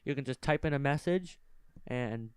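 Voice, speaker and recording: A man's voice: mid-range voice, normal speed, clean tone, no room sound. A young man co-hosting a casual podcast, explaining things plainly into a game controller microphone. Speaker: podcast co-host. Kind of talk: casual improvised podcast chat. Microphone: game controller mic.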